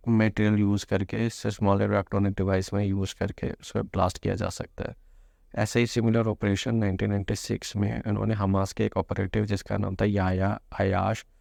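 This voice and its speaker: A man's voice: fairly low pitch, gravelly, darkish tone, no room sound, studio quality. A man presenting an informal news explainer, mixing in English terms as he goes. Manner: mixes Hindi with English terms.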